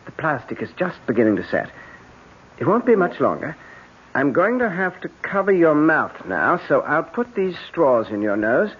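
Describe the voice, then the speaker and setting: A man's voice: on the high side, very animated, normal speed, breathy edge. A man playing a controlling, patronisingly reassuring husband in a 1970s radio drama. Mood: controlling, soothing. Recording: muffled, hissy 1970s radio broadcast.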